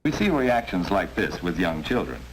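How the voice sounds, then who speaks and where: A man narrating an educational film in a measured, instructive way. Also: low voice, very animated, a little hiss or hum, low-quality recording.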